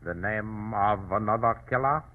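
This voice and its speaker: An actor playing a menacing but polite warlord from China, stiffly formal, in 1940s radio drama style. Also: male voice, lowish voice, normal speed, close to an everyday voice. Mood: suspicious, alarmed. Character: menacing, polite Chinese male warlord and murderer. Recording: narrow, tinny old radio recording.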